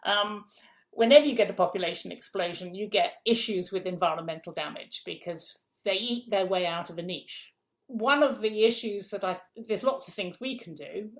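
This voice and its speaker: A middle-aged woman from England talks in an interview with a gravelly edge to her voice. Her pitch sits in the middle range, and she makes her points emphatically, repeating phrases and posing rhetorical questions. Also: moderate pace. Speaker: food policy guest. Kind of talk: conversational interview answer.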